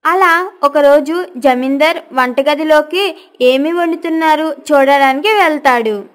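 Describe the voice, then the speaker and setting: A woman with a high voice narrates a children's story. She reads from a script, as a storyteller presenting a moral tale. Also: measured pace.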